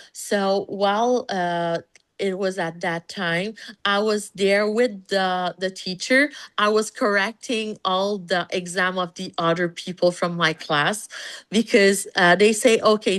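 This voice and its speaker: A woman from Canada with a French Canadian accent, her first language French, talking as a podcast guest in a relaxed interview, often pausing and restarting as she looks for words. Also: medium pitch. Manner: searching for words, many fillers and restarts. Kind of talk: conversational interview.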